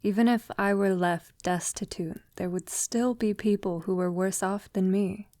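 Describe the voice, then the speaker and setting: A woman playing a gentle, conscience-troubled vampire lover in an intimate ASMR audio roleplay, speaking softly, tenderly and hesitantly. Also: medium pitch, fairly even intonation, unhurried, dry recording, studio quality, close to an everyday voice. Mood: pensive, troubled. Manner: soft, tender, hesitant, often dropping to a whisper. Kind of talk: ASMR girlfriend roleplay, soft intimate acting.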